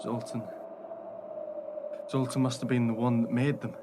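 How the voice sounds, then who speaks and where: An actor playing a calculating, glib hotel manager who is secretly a werewolf, in a radio play. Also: male voice, medium pitch, little pitch movement, normal speed, close to an everyday voice. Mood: grave, calm. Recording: noisy recording with loud background ambience.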